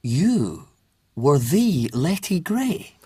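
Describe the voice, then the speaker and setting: Husky, starstruck opera lover. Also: male voice, fairly high pitch, very animated, extremely slow, mellow, dry recording, clean studio sound, heavily acted. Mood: awed, earnest. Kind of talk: British period radio drama acting.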